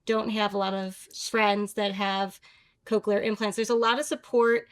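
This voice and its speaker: A woman's voice: medium pitch, little pitch movement, moderate pace. A woman clinician explaining medical background in an interview with a confident, explanatory manner.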